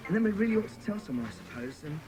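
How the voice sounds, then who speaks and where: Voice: medium pitch, big pitch swings, very fast, over loud music, natural voice, low-quality recording. An actor playing an eager, persistent British boy from a children's secret club in an audio drama.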